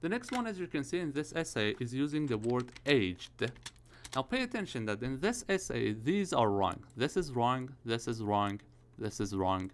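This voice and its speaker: A man's voice: mid-range voice, sing-song, normal speed. A man in his early thirties teaching English grammar for an exam, explaining step by step and often checking with "all right?" and "okay?". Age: 30s. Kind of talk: IELTS grammar lesson.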